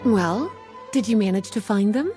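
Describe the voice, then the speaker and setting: A woman acts a young magic librarian from a video game, in a mellow, dark-toned voice with plenty of melodic movement. She is composed and knowing, with a gentle teasing streak, and music plays behind her. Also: mid-range voice, lively intonation, moderate pace, natural voice. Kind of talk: video game character acting.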